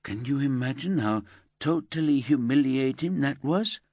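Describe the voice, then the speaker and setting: A man puts on a high, whiny teenage girl, indignant and sulky, her voice swinging up and down. Dry, close sound with no room around it. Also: lively intonation, moderate pace, mellow, no echo, close to an everyday voice. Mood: resentful. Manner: whiny and indignant, rising to wailing.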